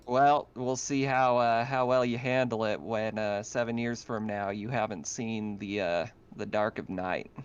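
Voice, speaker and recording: Man playing a folksy, weary colony administrator, smooth-voiced. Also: lowish voice, fairly even intonation, unhurried, few pauses, highs cut off, close to an everyday voice. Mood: folksy welcome.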